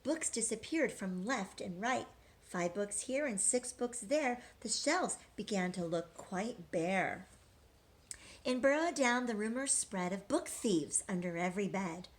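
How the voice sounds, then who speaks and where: A woman teacher reading a rhyming picture book aloud to young children, gentle and engaging, pausing to ask questions. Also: medium pitch, lively intonation.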